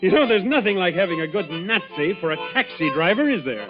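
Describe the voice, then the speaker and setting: An actor playing a cool, sardonic skipper hero in a 1940s radio drama, wry and authoritative. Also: male voice, high voice, very animated, a little fast, loud music behind the voice. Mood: sardonic, triumphant. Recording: tinny narrow-band old radio recording.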